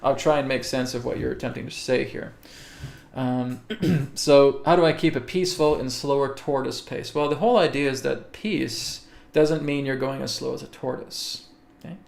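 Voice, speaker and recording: A man hosting a live spiritual webcast, answering viewer questions slowly and deliberately and often checking in with "okay?". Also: mid-range voice, steady tone, vocal fry, darkish tone. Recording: echoey room.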